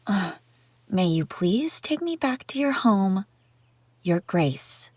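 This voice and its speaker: A woman's voice: mid-range voice, sing-song, slow, darkish tone, slightly rough, dry recording, full character voice. A woman playing a haughty, bratty young runaway princess in a roleplay audio drama, sarcastic and bickering with the listener. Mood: panicked, exasperated.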